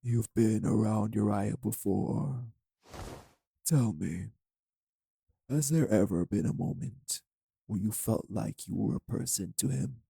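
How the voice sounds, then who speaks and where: A man playing a cold, manipulative vampire villain in an audio roleplay, speaking with deliberate, condescending menace in a husky, gravelly voice. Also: fairly low pitch, warm tone, no echo, full character voice. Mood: condescending, cruel. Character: cold, manipulative male vampire taunting his captive. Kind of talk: dramatic audio roleplay acting.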